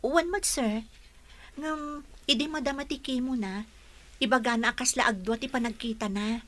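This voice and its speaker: A woman's voice: fairly high pitch, moderate pace, a bit bright, natural voice. A woman with an Ilocano Filipino accent playing a respectful, attentive young caregiver in a radio drama. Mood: respectful, worried.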